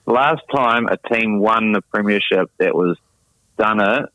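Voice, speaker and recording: A man's voice: on the low side, measured pace, dry recording, muffled recording. An Australian man chatting casually about rugby league on a podcast, teasing and provocative. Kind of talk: casual sports chat.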